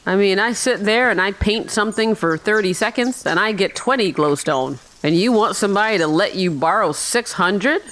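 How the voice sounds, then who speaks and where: A woman giving casual, chatty let's-play commentary on a video game, thinking out loud as she goes. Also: medium pitch, moderate pace, few pauses. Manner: rambling, thinking aloud, chatty. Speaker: gamer narrating her own playthrough.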